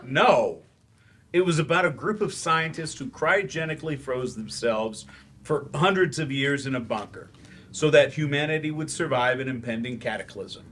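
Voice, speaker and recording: A man's voice: mid-range voice, moderate pace, a bit bright, a little hiss or hum, lightly acted. Man acting a wry, weary English teacher. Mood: weary, defensive. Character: weary male writer turned remedial English teacher, wry and awkward. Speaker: actor in a staged play reading. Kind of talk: live stage play reading.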